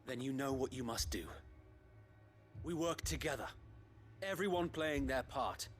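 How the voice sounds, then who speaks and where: An actor playing an earnest, resolute knight commander, speaking formally and precisely in a dramatic fantasy game. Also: male voice, mid-range voice, a little slow, slight background noise, lightly acted. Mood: stirring, urgent. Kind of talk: dramatic video game acting.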